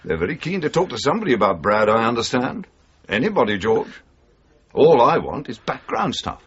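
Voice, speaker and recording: A man's voice: medium pitch, big pitch swings, brisk, slightly rough, full character voice. An actor in a radio drama playing a wily, persistent tabloid journalist, coaxing and insinuating as he presses for information. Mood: sly, pressing. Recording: dull, slightly muffled 1980s radio broadcast.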